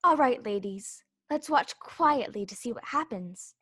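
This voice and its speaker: A woman playing a brisk, bossy, know-it-all talking flower in a children's readers' theater performance. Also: on the high side, animated, a bit bright, no room sound.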